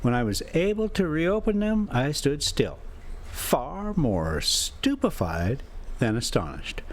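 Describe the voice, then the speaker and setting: Folksy American man with lively, swooping pitch. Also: slow. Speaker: travel vlogger and storyteller. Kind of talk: casual rambling chat mixed with reading a classic novel aloud.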